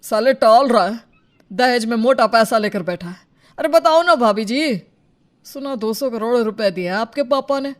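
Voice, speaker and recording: A woman's voice: on the high side, animated, moderate pace, lightly acted. A woman voicing a pushy, pleading male neighbour in a cartoon story, talking in a wheedling, colloquial way. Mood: accusing. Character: pleading, pushy middle-class male neighbour seeking a loan. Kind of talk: cartoon story character acting.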